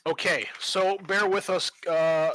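A man's voice: high voice, fairly even intonation, takes it slowly, a bit bright, a little hiss or hum. A man hosting a roleplaying podcast as game master, moving between casual chat and scene-setting narration. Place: online group call.

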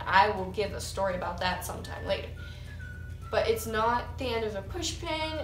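A woman's voice: on the high side, reverberant, far from the mic, poor audio. An eighteen-year-old American woman chatting to camera in a casual vlog, talking with her hands. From United States. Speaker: YouTuber. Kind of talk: casual get-to-know-me vlog. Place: small room with cinder block wall and couch, indoors. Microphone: camera mic a few feet away.

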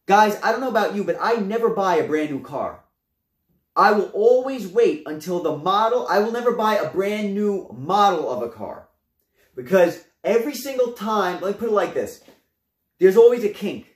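A young man reviews home gym gear, talking to his viewers in a lively, gesturing way. His voice sits high for a man. The camera mic is a few feet off, so the small room's echo comes through. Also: normal speed. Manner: animated, gestures a lot, addresses viewers as guys. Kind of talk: casual product review to camera. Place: small room at home, indoors. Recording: roomy echo.

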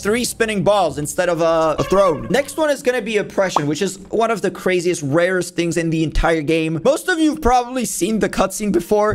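High-pitched young man reacting excitedly. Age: young adult. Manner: excitable, informal, exclaiming. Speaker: host of gaming reaction videos. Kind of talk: casual reaction commentary. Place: indoor room at a desk. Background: music from the videos he watches.

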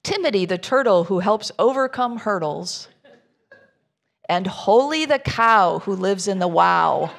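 Middle-aged woman storyteller, slightly low and gravelly, unhurried, expressive delivery to a congregation. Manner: measured, expressive storytelling with informal asides to listeners. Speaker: minister. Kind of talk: reading a children's rhyming storybook aloud to a congregation.

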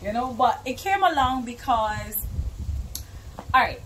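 High-voiced young Jamaican woman, casual and animated. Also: unhurried, slight background noise, poor audio. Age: young adult. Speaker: musician being interviewed. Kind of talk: casual interview chat. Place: outdoors on a porch by a garden.

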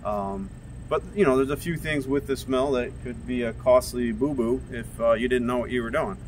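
A man's voice: mid-range voice, moderate pace. Man giving a product tour, noisy background. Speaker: sawmill company representative giving a tour. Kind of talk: casual product walkthrough. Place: workshop and outdoor equipment yard. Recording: noisy on-location camera audio, machinery ambience.

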